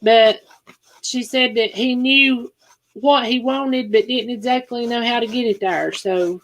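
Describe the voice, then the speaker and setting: A woman's voice: fairly high pitch, fairly even intonation, unhurried, poor audio. A Southern woman hosting a casual live-stream chat, telling homestead stories and laughing often. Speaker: homestead channel host.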